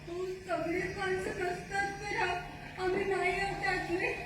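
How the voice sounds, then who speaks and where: Woman acting as scared lost captive, super high flat voice, begging over and over, stage play. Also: a little slow. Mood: frightened pleading. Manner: pleading, repetitive. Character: frightened lost intruder begging captors for release. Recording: echoey hall, far mic, clear noise.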